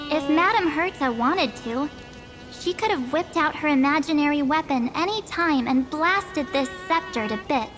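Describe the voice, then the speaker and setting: A woman's voice: sits high, poor audio. A woman voicing an earnest, defiant young traveler in a video game, speaking assertively in casual phrasing. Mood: firm, defiant.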